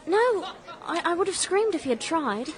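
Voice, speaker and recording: A woman's voice: very high-pitched, sing-song, moderate pace, a faint crowd. An actress playing a naive, proper young Victorian lady in a radio drama, with a hesitant, prim manner and music behind her. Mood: distressed. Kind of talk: radio drama acting with first-person narration.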